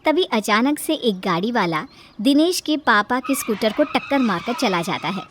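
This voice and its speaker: A woman's voice: fairly high pitch, expressive, normal speed, background noise. A woman narrator reading a scripted cartoon story.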